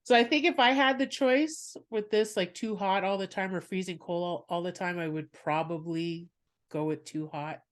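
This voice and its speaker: A woman's voice: fairly low pitch, moderate pace. A woman hosting a podcast, chatting casually with a guest, laughing often and rambling through asides. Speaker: podcast host and comedian.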